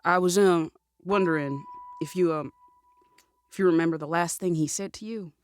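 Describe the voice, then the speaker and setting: A woman playing a steely widowed outlaw fresh from prison in a scripted audio drama, deliberate and probing. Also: on the low side, slight background noise, close to an everyday voice. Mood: hesitant, vulnerable. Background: music.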